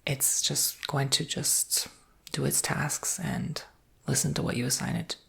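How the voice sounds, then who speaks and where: An American man performs an improvised ASMR sales roleplay in a whisper. His voice is extremely breathy and husky, with heavy crackle in it. He explains things softly, in an unhurried and reassuring way. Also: mid-range voice, level tone.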